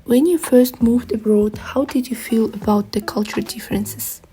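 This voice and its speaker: A woman interviewer puts short, direct questions to her guest. Her voice sits a bit high but sounds mellow and soft. Light music runs underneath. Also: moderate pace.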